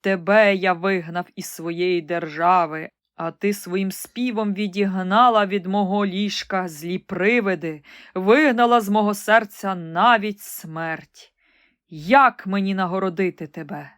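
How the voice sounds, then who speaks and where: A woman voicing a frightened old emperor on his deathbed in a storybook reading, crying out in slow, drawn-out pleas. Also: mid-range voice, no room sound, clean studio sound, close to an everyday voice. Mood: grateful. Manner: slow, drawn-out pleading cries.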